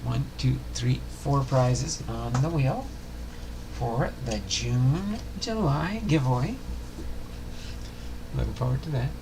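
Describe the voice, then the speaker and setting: An older man hosting a casual livestream, talking in a folksy, rambling, unhurried way with a gravelly voice. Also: medium pitch, animated, background noise. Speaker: livestream host.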